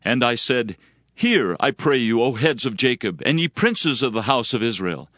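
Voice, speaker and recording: A man's voice: medium pitch, animated, normal speed, fairly bright tone, dry recording, studio quality. A man narrating scripture as a dramatized reading, solemn and oratorical in delivery. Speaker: audio Bible narrator. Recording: music behind the voice.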